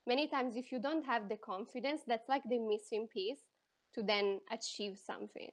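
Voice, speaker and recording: An Italian woman, a counselor and life coach, being interviewed and talking in a lively, expressive way with quick bursts and restarts. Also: a little high. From Italy. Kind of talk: casual interview.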